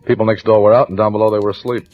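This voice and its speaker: An American actor playing a dry, skeptical police lieutenant, talking and narrating in a laconic, matter-of-fact way in a vintage radio crime drama. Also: male voice, fairly low pitch, little pitch movement, racing, close to an everyday voice. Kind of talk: old-time radio crime drama acting, first-person narration. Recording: dull, lo-fi vintage radio recording. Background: music.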